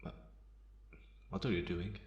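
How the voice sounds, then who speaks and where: A man playing a bitter, guarded, centuries-old vampire in a slow ASMR roleplay monologue with measured pauses. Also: low-pitched, flat delivery, darkish tone, dull audio. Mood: incredulous, guarded. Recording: roomy, echoing sound.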